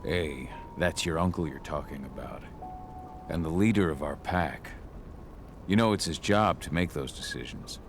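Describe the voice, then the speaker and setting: A battle-hardened werewolf father in a game cutscene. His voice is extremely deep and gravelly, calm and reassuring in delivery. Music plays clearly behind him. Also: normal speed. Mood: steady, reassuring. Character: gruff adult male werewolf warrior, steady protective father. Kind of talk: video game cutscene acting.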